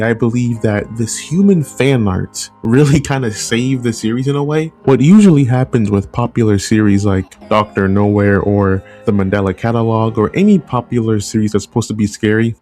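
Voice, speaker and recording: A man's voice: fairly low pitch, mellow. A man giving casual opinion commentary as a video essay host, conversational and often rephrasing his points. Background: background music.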